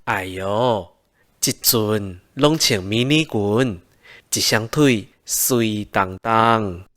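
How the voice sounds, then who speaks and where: A man's voice: on the low side, very slow, a bit bright. An actor playing a puzzled male scientist in a Taiwanese radio drama, querying and objecting. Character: puzzled male scientist questioning his older sister.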